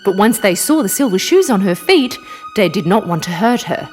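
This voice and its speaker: A woman narrating a scripted children's fairy tale in an expressive, dramatic way. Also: medium pitch, moderate pace. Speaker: storyteller, narrator. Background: music.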